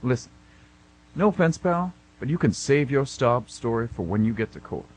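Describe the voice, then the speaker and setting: A man's voice: mid-range voice, expressive, normal speed, slightly rough, rough recording. A man playing an impatient, sarcastic police officer with a curt, unimpressed manner in an amateur radio drama. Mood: sardonic, dismissive.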